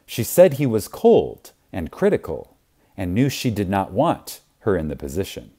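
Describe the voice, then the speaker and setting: A man narrating an audiobook in a reverent, emphatic manner with deliberate, dramatic pauses. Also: deep voice, wildly swooping pitch, warm tone, husky.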